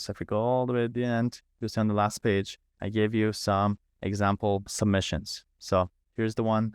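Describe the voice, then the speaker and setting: Low-voiced man giving a casual software walkthrough. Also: clear, resonant tone, no room sound, clean studio sound. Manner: informal, repetitive, thinking aloud step by step. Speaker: host of an online software setup training. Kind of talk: casual live screen-share walkthrough. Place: live online video call.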